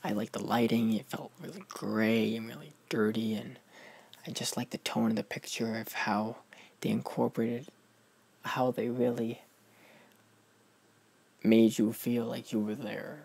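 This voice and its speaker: A man's voice: on the low side, little pitch movement, measured pace, gravelly, long pauses, darkish tone, slightly rough. Gushing man reviewing a film. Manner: gushing and emphatic, with frequent restarts. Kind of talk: casual movie review vlog.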